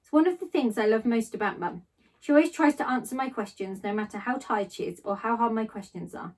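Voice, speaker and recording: A woman with a fairly high voice reads to children as a teacher would. Her delivery is expressive storytelling, recorded from a little distance off the microphone. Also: a little fast. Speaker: teacher reading aloud to pupils.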